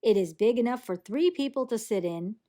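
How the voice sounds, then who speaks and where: A woman reading a children's storybook aloud in an expressive, animated way that acts out the action. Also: fairly high pitch, a little fast. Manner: animated, acts out sound effects and character lines. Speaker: storybook reader.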